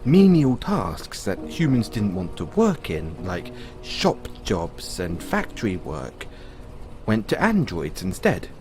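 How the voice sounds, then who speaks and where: A man playing a patient owner talking to his android in an audio roleplay, explaining in a musing way with pauses. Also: lowish voice, huge pitch swings, over music, heavily acted. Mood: patiently explaining. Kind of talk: audio roleplay, conversational storytelling.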